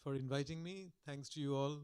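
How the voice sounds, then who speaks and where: An older man with a delivers a public lecture in a soft, mellow voice on a podium microphone. He talks reflectively, often restarting his sentences, in a slightly raised register. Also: fairly high pitch, fairly quick, clear, resonant tone. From Calcutta, India. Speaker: guest lecturer.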